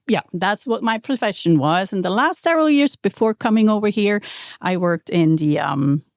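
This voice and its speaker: A woman's voice: medium pitch, animated, normal speed, darkish tone, no room sound, clean studio sound. A middle-aged woman from Switzerland, an English speaker telling stories as a podcast guest. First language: not English. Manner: storytelling, laughs often. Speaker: guest, realtor. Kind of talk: casual interview chat.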